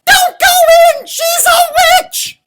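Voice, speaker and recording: Man putting on an excitable, high-pitched little girl chicken. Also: extremely slow, heavy background noise, full character voice. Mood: alarmed shouting. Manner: bursts into urgent high shrieks and earnest pleading. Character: excitable little young female chicken, eager, interrupting.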